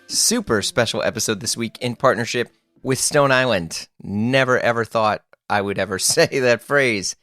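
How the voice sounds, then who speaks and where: A man's voice: fairly low pitch, fairly bright tone, no echo, clean studio sound. A man hosting a casual podcast interview, enthusiastic, laughing often and restarting his sentences. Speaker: podcast host.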